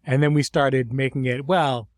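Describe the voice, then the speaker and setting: A man's voice: medium pitch, steady tone, moderate pace, dry recording, clean studio sound. A man talking reflectively as a series creator in a behind-the-scenes podcast, explaining things articulately with vivid imagery.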